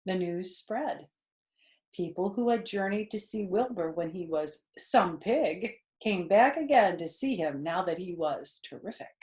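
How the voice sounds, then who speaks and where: An older woman reading a children's storybook aloud, with expressive, deliberate phrasing. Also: lowish voice. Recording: echoey room.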